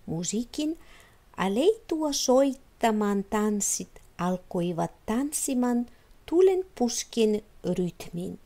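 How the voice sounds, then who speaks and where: A woman reading a children's fairy tale as an expressive storyteller. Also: on the high side. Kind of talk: expressive scripted storybook narration.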